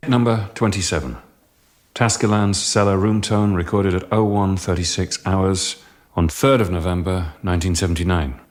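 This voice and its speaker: An actor playing a weary, fed-up sound engineer in a radio drama, with a blunt, dry delivery. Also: male voice, sits low, fairly even intonation, moderate pace, lightly acted. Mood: flat, fed up.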